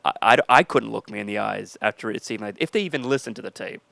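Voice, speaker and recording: A man's voice: lowish voice, fast talker, creaky, fairly bright tone. A man co-hosting a podcast with his wife, rambling through funny stories in a slightly nasal voice and breaking into silly voices. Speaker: podcast co-host. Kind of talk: casual comedic chat.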